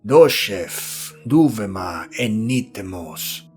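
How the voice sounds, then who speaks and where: A man voicing an infernal, disembodied demonic being in a horror audiobook, proclaiming archaic words slowly. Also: on the low side, lively intonation, darkish tone, soft background music. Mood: menacing, otherworldly.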